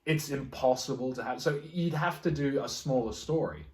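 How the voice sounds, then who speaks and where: A man's voice: medium pitch, normal speed. Man chatting casually in an echoey room. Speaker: podcast co-host. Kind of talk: casual chat about film and game adaptations. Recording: echoey, roomy sound.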